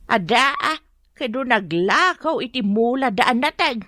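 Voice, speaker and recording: A woman's voice: on the high side, lively intonation, a little slow, sharp tone, no echo, studio quality. An actress playing an elderly pensioner mother in an Ilocano radio drama, emphatic and bossy as she pleads for her family. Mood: surprised.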